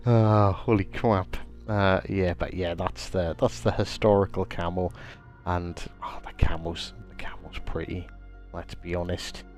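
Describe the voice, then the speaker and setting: Guy streaming a game, bit stuffy from a cold, lowish voice, chatty, says hi to chat a lot, quiet music behind. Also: fairly even intonation, measured pace. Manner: chatty, greets viewers by name. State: slightly congested with a cold. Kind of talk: casual live-stream commentary.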